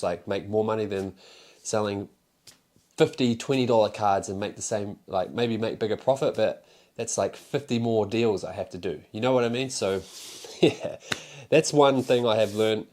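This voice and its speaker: A man's voice: lowish voice, a little mellow. A young man with a New Zealand accent, talking casually to camera about selling cards, rambling and candid with fillers and the odd swear. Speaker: card seller and content creator.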